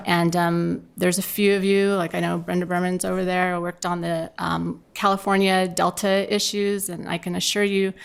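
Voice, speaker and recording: A woman's voice: lowish voice, flat delivery, moderate pace, gravelly, hardly any pauses, clean tone. A middle-aged woman giving informal panel remarks at a conference, talking conversationally and directly to the audience. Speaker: panelist from a municipal water provider.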